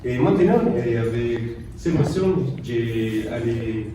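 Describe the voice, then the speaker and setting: A man's voice: medium pitch, animated, measured pace, natural voice. Distant, echoey man acting as jackpot winner. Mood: moved, halting. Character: emotional male jackpot winner on a quiz show. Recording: echoey room, distant mic, noisy.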